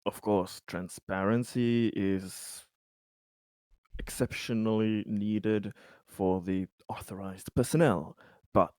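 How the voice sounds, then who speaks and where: A man playing an earnest, diplomatic colony official in a tabletop roleplay, speaking formally in wordy, halting phrases. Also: on the low side, fairly even intonation, darkish tone, no room sound. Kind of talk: improvised tabletop roleplay dialogue.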